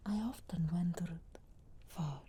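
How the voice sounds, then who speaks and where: Sardonic wilds witch, acted by a woman, ASMR roleplay: very breathy whisper, low register, measured and archly formal. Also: warm tone, full character voice. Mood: reflective, guarded. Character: sharp-tongued young witch of the wilds, sarcastic, guardedly caring.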